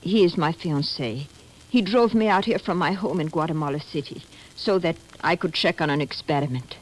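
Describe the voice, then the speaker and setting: An actress playing an earnest, anxious refugee professor with formal, urgent speech in an old radio drama. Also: female voice, low voice, normal speed, low-quality recording. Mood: guarded, earnest. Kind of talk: 1940s radio acting.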